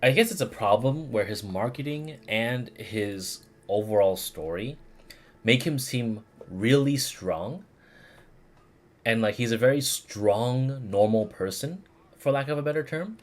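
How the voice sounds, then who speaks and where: A man livestreaming a game, chatting casually in a rambling, halting way as he searches for words. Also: medium pitch, animated. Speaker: game streamer. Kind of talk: casual livestream commentary, replying to chat.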